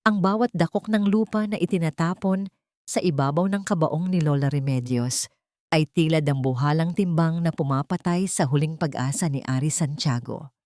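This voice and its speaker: A woman's voice: low voice, moderate pace, darkish tone, dry recording, studio quality. A woman narrating a scripted audio drama in a solemn, melodramatic manner.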